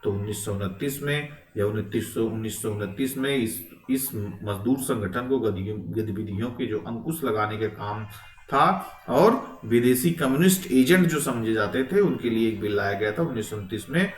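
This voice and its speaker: A man's voice: fairly low pitch, normal speed. Echoey man teaching. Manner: instructive, repetitive, frequent rising check-in tags. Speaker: teacher, exam-prep history lecturer. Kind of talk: informal lecture reviewing exam questions. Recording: echoey room, distant microphone.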